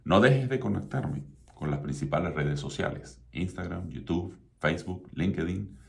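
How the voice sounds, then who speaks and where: A middle-aged Venezuelan man with an extremely deep voice hosts his own radio show. He speaks with a Venezuelan Spanish accent in a measured, promotional way. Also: expressive, gravelly. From Venezuela (Mérida). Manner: measured, promotional, repeats contact details. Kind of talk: scripted radio talk show monologue.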